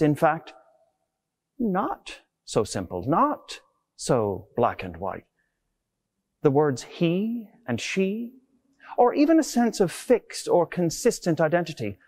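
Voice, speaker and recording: Male preacher, middle-aged, lively intonation, scripted sermon delivery on a lapel mic. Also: on the high side. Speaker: preacher, Anglican clergyman. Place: inside a large stone church.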